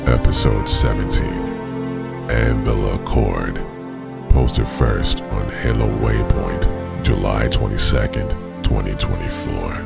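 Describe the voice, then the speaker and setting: A man reads a scripted series introduction in an extremely deep register. His voice is thick with gravel and he takes his time. Loud music plays behind him. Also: fairly even intonation, takes it slowly. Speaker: intro announcer.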